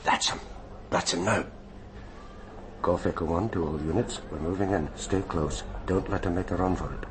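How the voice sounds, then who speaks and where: An actor playing a seasoned middle-aged police sergeant in a radio drama, terse, authoritative and grimly determined. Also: male voice, low-pitched, normal speed, noisy background, lightly acted. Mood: commanding. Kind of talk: naturalistic radio drama acting.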